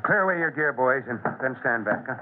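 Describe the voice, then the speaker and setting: A man's voice: medium pitch, animated, fairly quick, very noisy, natural voice. An American actor playing a commanding, fatherly fire chief in an old-time radio drama. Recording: tinny old radio recording.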